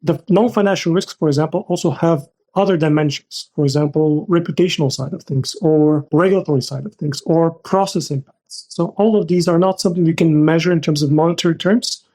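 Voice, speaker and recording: Mellow-voiced man explaining things carefully. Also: on the high side, a little fast, few pauses. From Bulgaria. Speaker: podcast guest, risk manager. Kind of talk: explanatory interview talk.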